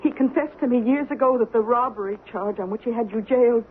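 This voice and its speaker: A woman playing an aging, regretful frontier wife in an American radio drama, halting and theatrical. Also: on the high side, close to an everyday voice. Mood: pleading. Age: older. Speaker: actor. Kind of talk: 1970s radio drama acting. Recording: tinny, noisy vintage radio broadcast.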